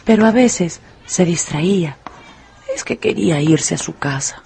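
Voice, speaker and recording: A woman with a lowish voice narrates a radio story with measured expression. Music is clearly heard behind her as she tells it. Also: lively intonation, warm tone.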